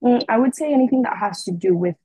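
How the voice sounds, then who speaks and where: A young woman from the Dominican Republic, answering interview questions in a relaxed way, with many fillers and restarts. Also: a little high, fast, husky, dry recording. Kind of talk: casual conversational interview.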